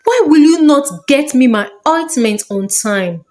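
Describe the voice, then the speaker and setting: A woman's voice: high-pitched, animated, measured pace, darkish tone, voice pushed up. A woman voicing a haughty, cruel, hot-tempered young princess in a folktale, imperious and scornful as she scolds. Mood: angry.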